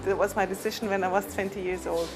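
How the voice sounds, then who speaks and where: A woman's voice: medium pitch, fairly even intonation, fast talker, a crowd in the background. Hesitant woman filmmaker from Switzerland. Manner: hesitant, searching for words. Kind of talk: interview testimony.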